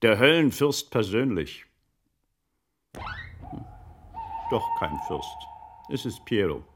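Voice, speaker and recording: Period radio play: a shrewd older magister, commanding and cutting, very expressive, echoing as in a large hall. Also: male voice, fairly low pitch, a little slow, husky, full character voice. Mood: dry. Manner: dry, commanding, biting retorts. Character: shrewd, sardonic older magister investigating a murder. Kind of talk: German radio drama acting. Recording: long reverberant hall.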